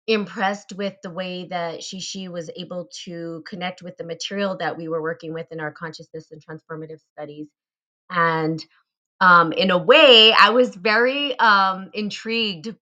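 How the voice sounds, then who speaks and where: Bright-voiced woman hosting a live chat. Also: on the low side, lo-fi sound. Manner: chatty, with many fillers. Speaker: host. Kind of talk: casual live show talk.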